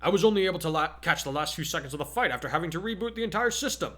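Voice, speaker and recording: A man's voice: fairly high pitch, animated, racing, pushed and bright, voice pushed up. A man voicing a giant, kindly male superhero teacher, hearty and earnest, in a story reading. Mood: serious.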